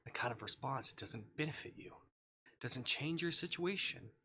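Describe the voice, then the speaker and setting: A man voicing a calm, coldly clinical and patronizing male kidnapper in a visual novel game, flat and measured. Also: mid-range voice, slight background noise, pitched above the performer's own voice. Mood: cold, controlled.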